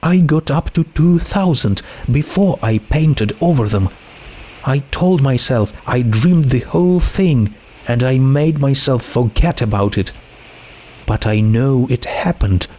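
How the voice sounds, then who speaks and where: Anxious teen girl, read by a man, storybook drama; unhurried, halting, emotionally exposed. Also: medium pitch, lively intonation, mellow, pitched above the performer's own voice. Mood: urgent, distressed. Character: troubled, anxious teenage girl confiding a secret. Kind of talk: dramatic fan fiction reading.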